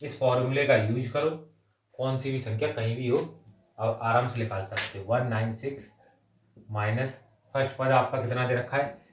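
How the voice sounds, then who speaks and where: A man's voice: mid-range voice, steady tone, normal speed, a little hiss or hum. Echoey, distant male maths teacher. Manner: repeatedly checks in with short tag phrases; mixes English number words and terms into the talk. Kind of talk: exam-coaching lesson, working sums aloud step by step. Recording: roomy echo, distant microphone.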